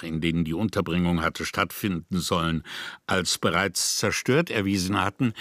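Male narrator, deep register, measured and sober literary reading, close dry studio sound. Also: fairly bright tone, dry recording. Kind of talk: scripted documentary-literary narration.

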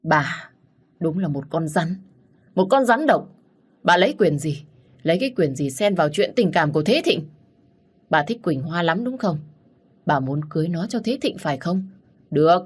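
A woman voicing an enraged older master of the house, shouting and scolding harshly. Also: on the low side, expressive, moderate pace, long pauses, slightly rough.

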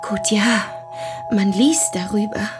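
A woman acting in a German radio drama as an overwrought deaconess testifying to police, halting and agitated. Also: medium pitch, loud music behind the voice, natural voice. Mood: hushed, uneasy. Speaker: actor in a radio play.

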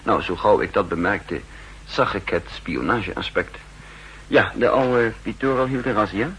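Very husky, shrewd spy chief. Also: male voice, on the low side, a little slow, a bit bright, heavily acted, low-quality recording. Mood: assured, explaining. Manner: pedantic, dryly ironic, in command. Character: shrewd, self-assured male chief of counter-espionage. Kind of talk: 1960s Dutch radio drama acting. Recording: old 1960s radio recording.

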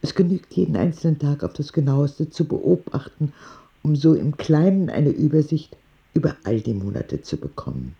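A man playing a kindly old woman visiting a hospital in an audio drama, speaking in a gentle, measured, reassuring way. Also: fairly high pitch, animated, vocal fry, dull audio. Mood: patient, storytelling.

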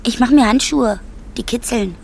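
An actress playing a simple-minded, childlike, dreamy camp inmate in a naive, absent-minded, halting way in a radio drama. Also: female voice, on the high side, vocal fry, darkish tone, slight background noise. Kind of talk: literary radio drama acting.

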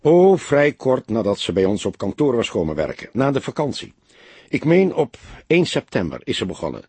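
A man's voice: medium pitch, very animated, normal speed, dark tone, husky, no room sound. Een man met een Nederlands en hese stem speelt in een luisterboek een jonge kantoormedewerkster die ontwijkend en stug antwoordt. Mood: guarded, curt. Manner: evasive, hesitant, reluctant. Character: guarded, curt young female office worker under questioning.

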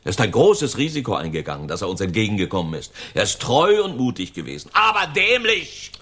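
A man's voice: fairly low pitch, wildly swooping pitch, a little fast, pushed and bright, breathy edge, exaggerated voice. An actor playing a hard-nosed, calculating gang member in a radio crime drama, emphatic and lecturing in his delivery. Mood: scornful, lecturing.

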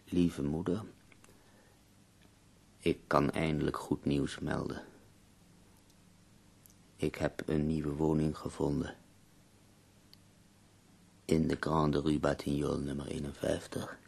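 An actor playing an ailing, intense middle-aged poet in a radio play, husky and gravelly, speaking with theatrical emphasis. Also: male voice, extremely low pitch, level tone, takes it slowly, pauses often, a little mellow, heavily acted. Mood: measured. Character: ailing, intense male German poet dictating to a woman.

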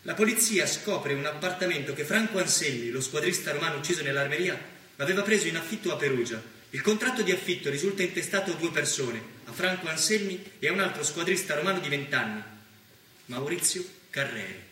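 A grave narrator laying out case facts in a theatre piece. His voice is fairly high and bright, with formal, deliberate delivery. It sounds roomy and set back from the microphone. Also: lively intonation. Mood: grave, factual. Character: male narrator reporting police investigation facts, grave and factual. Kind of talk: scripted documentary-like stage narration. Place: theatre stage, recorded from a distance. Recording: roomy distant stage recording, faint noise.

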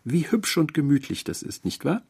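Man voicing a cooing, eerie sweet landlady, raised pitch. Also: very animated, normal speed, vocal fry, darkish tone, breathy edge, full character voice. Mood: cosy, coaxing. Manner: cooing, motherly, over-familiar endearments. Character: sweet, doting, quietly eerie middle-aged landlady, female.

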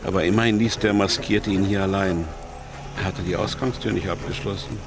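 Low-voiced crime story narrator. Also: male voice, steady tone, brisk. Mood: sober. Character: male crime-story narrator, sober and tense. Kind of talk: scripted narration in a radio crime drama.

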